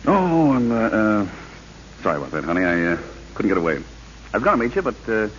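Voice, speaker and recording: Deep-voiced tough gambler, old radio. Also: male voice, big pitch swings, fairly quick. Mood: evasive, hard. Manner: clipped slangy tough-guy talk. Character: tough, cocky, slangy male gambler with an evasive streak. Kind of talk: 1930s-40s radio drama acting. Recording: hissy, muffled old radio broadcast recording.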